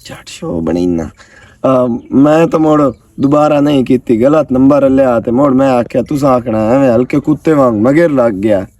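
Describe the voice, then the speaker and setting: A man's voice: medium pitch, normal speed, mellow, lightly acted. A man with a Pakistani Punjabi accent playing a lonely, lovestruck rural bachelor in a comedy skit, chatty, eager and effusive with blessings. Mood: flustered, eager. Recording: roomy echo, distant microphone.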